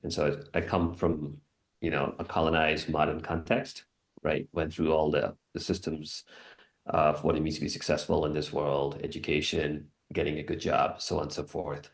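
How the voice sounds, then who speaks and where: A man in his thirties from Singapore, telling his own story on a panel in a reflective, earnest, measured way. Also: a bass voice, flat delivery. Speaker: panelist, wilderness guide.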